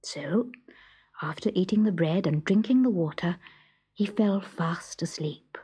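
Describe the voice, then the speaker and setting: Unhurried woman reading a fairy tale. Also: fairly low pitch. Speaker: storybook narrator. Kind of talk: scripted children's story narration.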